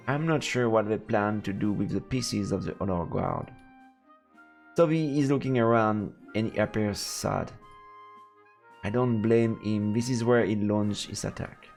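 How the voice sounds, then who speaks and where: Creaky-voiced man streaming a game. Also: lowish voice, darkish tone. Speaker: livestreamer playing and reading a visual novel. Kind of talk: casual let's play chat mixed with read-aloud narration.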